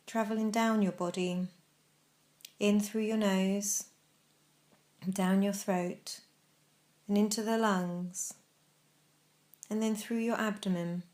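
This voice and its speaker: A woman narrating a guided mindfulness meditation, giving gentle, measured instructions with pauses. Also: mid-range voice.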